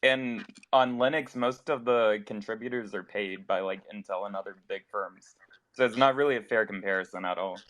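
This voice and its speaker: A man's voice: fairly low pitch, normal speed, a bit bright, dry recording, rough recording. A man taking part in a casual technical debate. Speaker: discussion participant.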